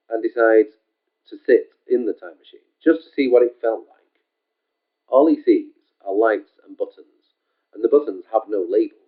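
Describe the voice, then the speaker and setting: A man reading his own short story aloud in a casual, homespun way, leaning often on the word "just". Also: fairly low pitch. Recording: tinny phone-like sound.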